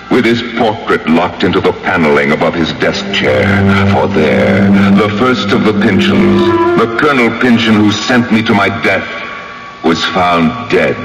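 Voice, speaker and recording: A man playing a vengeful dead man who grimly narrates his revenge in a radio drama, theatrical and menacing. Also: deep voice. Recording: echoey old radio recording with music.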